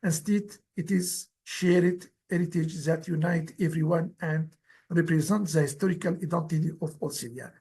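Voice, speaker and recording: Husky, gravelly man with Kurdish roots. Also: sits high. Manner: earnest, pleading, halting phrasing. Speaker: guest speaker, former heritage director. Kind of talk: formal presentation in non-native English.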